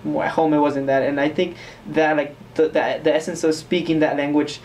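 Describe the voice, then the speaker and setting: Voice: mid-range voice, little pitch movement, a little fast, a little mellow, distant mic, a little hiss or hum. Young person from Mexico, reflective. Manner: reflective, rambling, many fillers. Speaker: interviewee. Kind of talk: casual interview answers.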